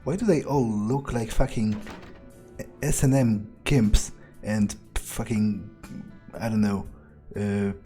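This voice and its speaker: A man plays a game in character, musing aloud with sardonic hesitation. He speaks very slowly in a dark, mellow voice, with faint music in the background. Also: on the low side. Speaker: game player roleplaying a character.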